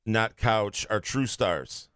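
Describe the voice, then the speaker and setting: A man's voice: lowish voice, slow, fairly bright tone, clean tone, no echo, clean studio sound. A man co-hosting a sports podcast, reading listener questions and chiming in with blunt jokes. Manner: blunt, wisecracking. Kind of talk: casual sports talk.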